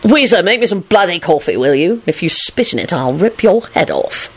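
A woman's voice: fairly low pitch, big pitch swings, moderate pace, breathy edge. Woman putting on a crabby, petulant grown man. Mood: grouchy. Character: Lupin, grumpy petulant man, crabby in the morning.

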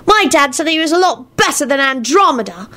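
A woman's voice: high-pitched, expressive, fairly quick, pushed and bright, pitched above the performer's own voice. A woman voicing a sulky, cheeky young wizard boy in a storybook, blurting things out in a childish whine. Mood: exasperated.

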